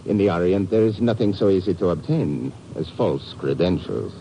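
An actor in a 1950s radio drama playing a suave, suspicious Indian police inspector, formal and dryly ironic. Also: male voice, low-pitched, lively intonation, normal speed, warm tone. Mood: dry, measured. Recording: faintly noisy old radio recording.

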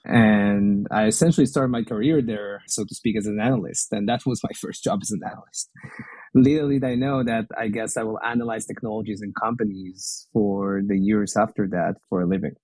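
A man's voice: lowish voice, fairly even intonation, brisk, darkish tone, no echo, rough recording. A middle-aged Israeli man with an Israeli accent, speaking English as a second language as a guest in a podcast interview. He talks thoughtfully and sometimes repeats words while he searches for the phrasing. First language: Hebrew. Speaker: guest, venture investor.

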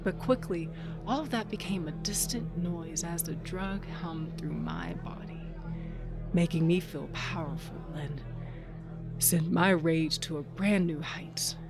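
A woman's voice: on the low side, unhurried, over loud music. An actress playing Tasha, a tough, rage-driven woman cage fighter, narrating in the first person in an audio drama with a brooding, dramatic delivery. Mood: brooding, intense.

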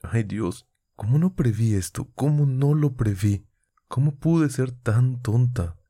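An impassioned young woman, near tears and pleading, voiced by a man reading an audiobook, lifted well above his low natural register. The delivery is urgent and emotional, and the recording is dry and close. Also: expressive, slow pace, mellow, dry recording. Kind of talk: audiobook character voice.

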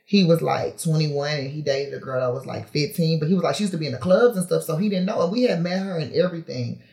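A woman's voice: low voice, fast, a little mellow. Chatty woman in her thirties, echoey room. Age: 30s. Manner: candid and chatty, laughs often, lots of fillers. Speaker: podcast guest, reality TV personality. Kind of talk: casual interview chat.

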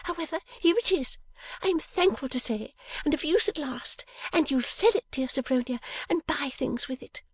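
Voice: sits high, moderate pace, few pauses, no echo, pitched above the performer's own voice, natural voice. A reader voicing a silly, tearful young lady who gushes breathlessly while sobbing. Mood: tearful gushing.

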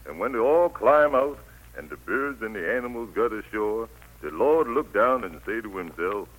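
An actor playing a kindly Bible teacher telling stories in an old radio play, husky and homespun. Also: male voice, lowish voice, expressive, moderate pace, fairly bright tone, low-quality recording. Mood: storytelling. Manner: plain, homespun storytelling. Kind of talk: 1940s-50s radio play acting. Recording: vintage radio broadcast recording.

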